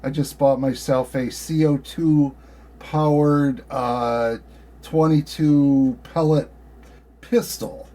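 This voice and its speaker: A man with an American accent chatting casually and conversationally, in a somewhat halting way. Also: medium pitch, fairly even intonation, mellow. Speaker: amateur radio operator. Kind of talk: casual chat.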